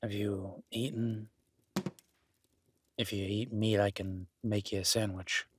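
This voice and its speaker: A man playing a gruff, skeptical but caring cabin dweller in an intimate audio roleplay, blunt and sweary yet grudgingly reassuring. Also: lowish voice, fairly even intonation, slow, slight background noise. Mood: apologetic, reassuring. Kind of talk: immersive audio roleplay, intimate naturalistic acting.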